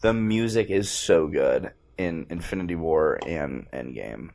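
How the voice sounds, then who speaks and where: A man giving casual, slangy, excitable fan commentary as he watches a movie. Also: rumbling low, measured pace, vocal fry. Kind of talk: casual film reaction commentary.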